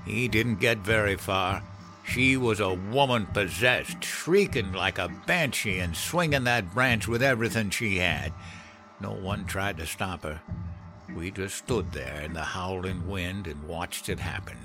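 A man's voice: deep voice, bright, forceful tone, exaggerated voice. An actor playing a haunted older countryman who tells a grim memory in a blunt, folksy, profane way, in dramatized audio fiction. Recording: music behind the voice.

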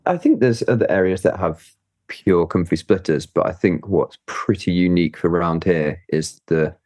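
Low-voiced man, laughing easily. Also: lively intonation, normal speed, darkish tone, dry recording, studio quality. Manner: laughs often, hesitant, many fillers. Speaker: guest climber. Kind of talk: casual interview chat.

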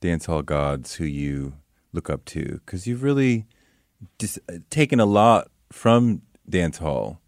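A man's voice: sits low, lively intonation, no room sound, studio quality. A man hosting a casual interview, who stumbles and restarts as he gropes for words. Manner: halting, stumbles over words. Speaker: podcast host.

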